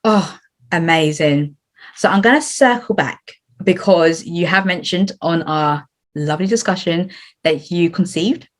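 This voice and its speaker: Encouraging woman podcast host, low voice. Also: measured pace. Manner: warm, encouraging, affirming. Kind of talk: interview hosting.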